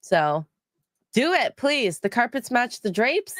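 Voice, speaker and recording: A woman's voice: a little high, very animated, slow pace, dry recording, clean studio sound. A woman hosting a casual livestream chat, sounding nasal from a cold, rambling with many ums as she reads out donations. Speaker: stream co-host.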